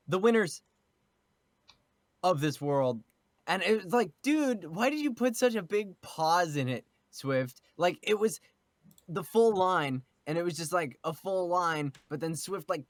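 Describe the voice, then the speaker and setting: A man's voice: a little high, no room sound, clean studio sound. A man chatting casually as a podcast panelist, rambling and cutting himself off. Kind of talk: casual group discussion.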